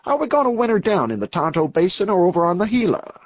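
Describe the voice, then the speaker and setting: A man's voice: sits high, big pitch swings, fast, dry recording, highs cut off, pitched above the performer's own voice. A man voicing an easygoing male outlaw with a folksy drawl in a Western storybook. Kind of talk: Western novel dialogue.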